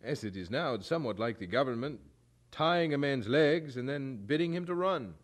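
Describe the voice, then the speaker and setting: A man acting in an audio drama as a firm, earnest colonial chaplain, speaking in a formal, measured and articulate way. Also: medium pitch, expressive, lightly acted. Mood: firm, reasoned.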